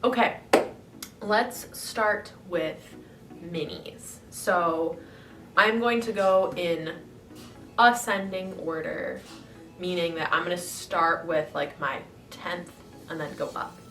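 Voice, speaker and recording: A woman's voice: fairly low pitch, takes it slowly, fairly bright tone, recorded from a distance. Congested, chatty woman in an echoey room. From US Midwest. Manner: chatty, enthusiastic, rambling with fillers. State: congested, nasal from a winter cold. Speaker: model horse collector and channel host. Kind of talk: casual vlog talk to camera. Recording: echoey room, voice a bit off-mic, faint music.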